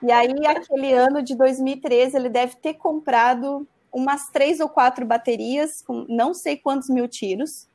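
Uma mulher jovem de Santa Catarina contando uma história de forma casual e animada, cheia de "né". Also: female voice, high-pitched, normal speed, few pauses. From Santa Catarina, Brazil. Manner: animated, frequent "né" tags. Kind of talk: casual storytelling.

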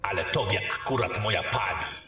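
Sardonic court mage for fantasy radio play, male actor: deliberate, forceful, lowish, deep echo. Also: lively intonation. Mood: urgent advising. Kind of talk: fantasy audio drama acting. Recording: very echoey, distant, faint music.

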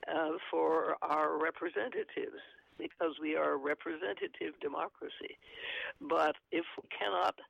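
Gravelly man on a muffled line. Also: fairly high pitch, a bit bright, no echo. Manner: halting, frequent fillers, earnest. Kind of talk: conversational commentary, reading aloud from an article.

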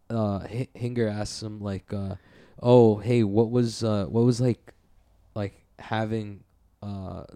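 A man co-hosting a podcast in loose, rambling chat, his sentences full of "like" and "uh". His voice sits on the low side with a mellow, dark tone. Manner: rambling, heavy use of "like" and "uh". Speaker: podcast co-host. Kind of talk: casual chat.

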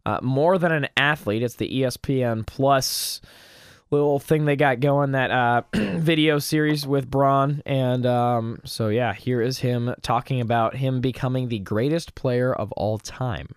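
A man co-hosting a casual sports talk show, slightly hoarse, animated and joking with many fillers. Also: medium pitch, measured pace, no room sound, studio quality. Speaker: sports podcast co-host.

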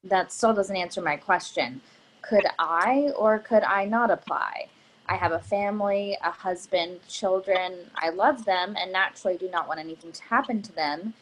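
A woman's voice: medium pitch, moderate pace, a bit bright, natural voice, rough recording. A woman playing a direct, businesslike young professional in a play reading, formal and pointed as she questions. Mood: skeptical, pressing.

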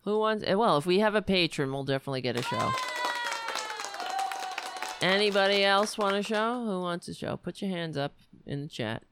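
A woman hosting a political livestream with a worn, raspy voice, talking bluntly and sarcastically while reading the chat. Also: medium pitch, lively intonation, normal speed, noisy background. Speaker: livestream show host.

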